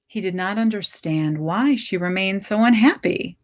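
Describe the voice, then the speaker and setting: A woman reading literary fiction aloud as a story narrator, with measured, deliberate phrasing. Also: mid-range voice. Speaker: story reader.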